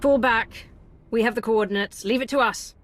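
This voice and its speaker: An actress playing a cool, decisive commander in a video game, with a crisp, assured delivery. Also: female voice, on the high side, moderate pace, a little hiss or hum, natural voice. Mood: resolute.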